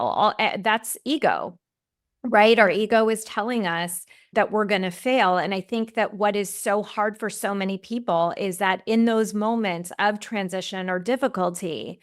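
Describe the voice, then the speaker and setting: A woman's voice: medium pitch, a bit bright. A woman hosting a podcast, reflecting in an easy conversational way and often closing her points with a question. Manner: reflective, ends points with "right?". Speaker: podcast host. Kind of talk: conversational interview.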